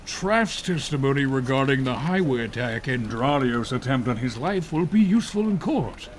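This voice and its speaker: A man's voice: medium pitch, animated, moderate pace, slight background noise, lightly acted. A man playing an authoritative, emphatic police chief in an audio drama. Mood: approving, firm.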